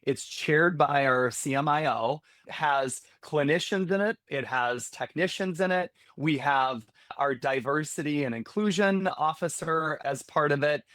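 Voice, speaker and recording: A man's voice: a little high, measured pace, hardly any pauses, fairly bright tone, no room sound. A man guest on a podcast, talking candidly and conversationally, often restarting phrases as he thinks aloud. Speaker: healthcare security executive, podcast guest. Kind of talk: conversational interview.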